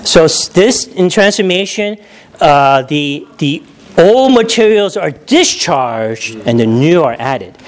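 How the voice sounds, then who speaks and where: A man's voice: fairly high pitch, very animated, takes it slowly. A man from New York preaching a conversational sermon, often checking in with his listeners and laughing at his own stories. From New York, USA. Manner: chatty, often checks in with "right?".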